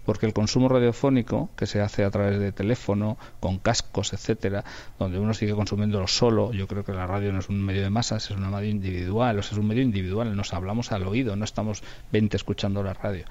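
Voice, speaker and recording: A man's voice: lowish voice, fairly even intonation, fast, a little mellow. A man with an accent from Spain giving thoughtful, explanatory interview answers as a radio executive, often restating points for emphasis. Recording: dull, muffled lo-fi sound.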